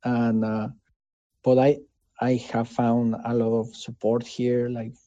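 A man with a Mexican accent and Spanish as his first language, answering casually in an interview in an earnest, halting way, often checking for agreement. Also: mid-range voice, level tone, dark tone, dry recording, highs cut off. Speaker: interviewee, game developer.

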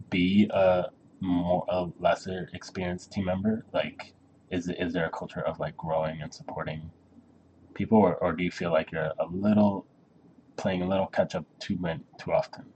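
A man's voice: deep voice, flat delivery, moderate pace, mellow, a little hiss or hum, poor audio. A man interviewing casually, hesitant and rephrasing his questions as he goes.

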